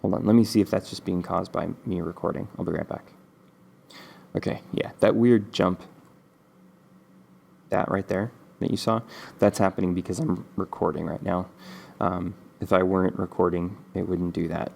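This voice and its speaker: A man hosting a screen-recorded game coding tutorial, stuffy from a cold, talking in a relaxed, rambling way with many ums. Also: low-pitched, moderate pace, gravelly. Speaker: game development tutorial host.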